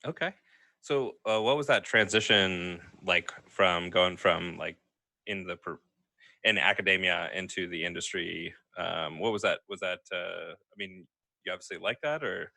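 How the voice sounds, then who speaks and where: Bright low-voiced male podcast co-host. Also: normal speed, creaky, dry recording. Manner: casual, filler-laden questions. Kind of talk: podcast interview.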